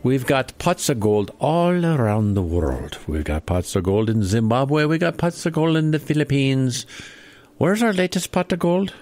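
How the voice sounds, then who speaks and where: Leprechaun boss played by a man, mid-pitched, swooping delivery, pompous and exasperated, for radio comedy. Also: big pitch swings, normal speed, a little mellow, no room sound, close to an everyday voice. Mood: pompous, businesslike. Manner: pompous, exasperated formal address. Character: bossy leprechaun chairman running a meeting. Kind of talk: comic radio play acting.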